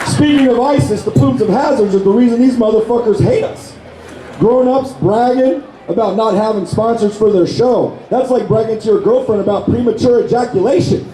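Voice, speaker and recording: Hoarse guy roasting everyone, high voice, crude jokes, echoey hall, loud crowd. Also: a little hiss or hum. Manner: brash, profane insult jokes. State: hoarse, lost his voice. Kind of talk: live roast comedy set. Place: convention stage before a crowd.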